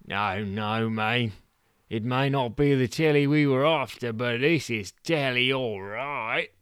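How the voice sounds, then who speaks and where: A man's voice: medium pitch, takes it slowly, a bit bright, clear, resonant tone, no echo, studio quality. A man voicing a boastful, reckless male TV director who bosses his cameraman about. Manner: boastful, pushy, swears.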